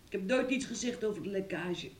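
An actress playing a sick, trapped but defiant young woman in a radio play, speaking haltingly and in protest. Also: medium pitch, lightly acted. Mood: weary, faint. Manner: halting, repeats words, protesting. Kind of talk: Dutch radio play acting.

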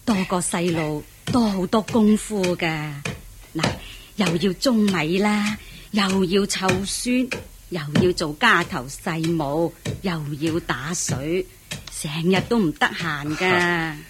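Radio play: a worn-out old village granny, humble and plaintive, low and very unhurried. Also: female voice, background noise. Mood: weary, sorrowful. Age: older. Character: poor, weary old peasant grandmother, widowed. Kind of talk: radio drama acting.

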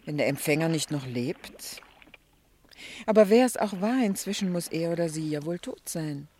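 Worldly, teasing society lady, very expressive. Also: sits low, normal speed. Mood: sceptical, curious. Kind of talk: 1960s German radio drama acting. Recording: faintly noisy 1960 radio recording.

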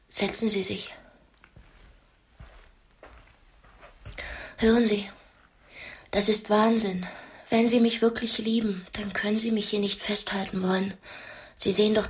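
An actress in a radio play as a brave, sharp-witted young captive, speaking directly and persuasively. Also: female voice, medium pitch, level tone, moderate pace, long pauses, lightly acted. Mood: urgent, reproachful. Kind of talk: radio drama acting.

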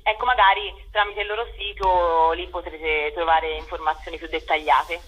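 A woman interviewed by phone as a theatre director, talking casually with halting, hesitant phrasing. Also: lowish voice, steady tone, fairly bright tone, breathy edge, muffled recording.

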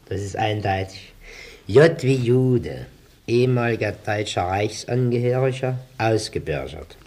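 An actor playing a menacing, mocking German Nazi agent interrogating people in a radio play. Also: male voice, on the low side, expressive, unhurried, darkish tone. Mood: mocking menace.

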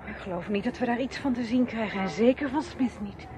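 A woman's voice: on the high side, brisk, crowd noise, lightly acted. An actress playing a wary, easily startled woman with an apprehensive, questioning manner in a radio drama. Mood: nervous. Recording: noisy radio play recording.

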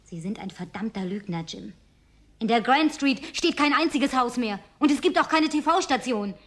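An actress as a high-voiced, wistful genteel woman. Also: animated, moderate pace, lightly acted. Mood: accusing. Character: Linda, lonely genteel woman, wistful, suspicious, easily wounded. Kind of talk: German radio drama acting, naturalistic dialogue.

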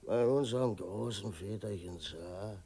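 An actor playing a drunken old coachman in a radio drama, groggy and befuddled, slurring and halting. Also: on the low side, warm tone, husky.

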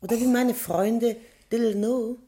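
A woman's voice: on the high side, fairly even intonation, measured pace, mellow, slightly rough. An actress playing a flirtatious, fashion-loving lady teasing a shy servant in a 1950s German radio drama. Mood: flirty. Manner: coquettish, teasing. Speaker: castle lady.

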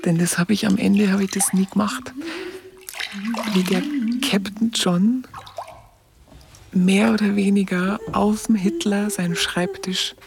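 A woman with a Bavarian accent acting in a radio play, as a Munich woman tenderly recalling the war in a halting, hushed, confiding way. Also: mid-range voice, vocal fry, background noise. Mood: wondering, reflective. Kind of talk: intimate monologue in a German radio play.

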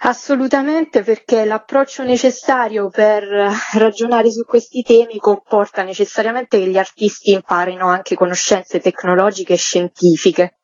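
A woman's voice: mid-range voice, a little mellow, no room sound, dull audio. A woman giving an informative radio interview as a curator, explaining things in formal, articulate phrases.

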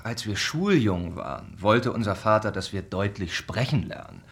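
An actor playing a principled, sober young gentleman, speaking earnestly and formally in a German radio drama. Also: lowish voice, expressive, normal speed. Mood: stern, disapproving.

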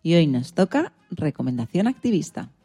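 A woman's voice: low-pitched, big pitch swings, normal speed, vocal fry, dark tone, soft background music. A woman hosting a radio show, presenting semi-scripted segments in an orderly way.

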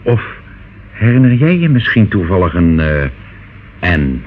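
Low, smooth-talking devious uncle, tinny recording. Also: male voice, very animated, unhurried. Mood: evasive, soothing. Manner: glib, reassuring, condescending. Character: smooth, devious older uncle, soothing and patronising. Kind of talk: radio drama acting. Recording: phone-like, noisy old radio play recording.

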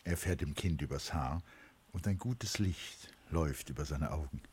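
A male narrator with an extremely deep, very husky voice. He reads scripted stage-like descriptions for a radio drama in a measured, literary way. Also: darkish tone.

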